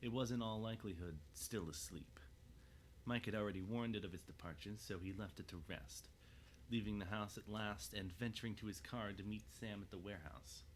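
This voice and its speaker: A man's voice: fairly low pitch, a little fast, slight background noise, poor audio. A man narrating a scripted audio drama as its storyteller.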